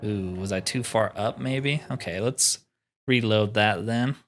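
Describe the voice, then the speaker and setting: A man's voice: fairly low pitch, unhurried, fairly bright tone, faint music behind. A man giving casual commentary as he plays a roleplaying video game. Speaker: gaming video host. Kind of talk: casual roleplay gameplay commentary, reading dialogue aloud.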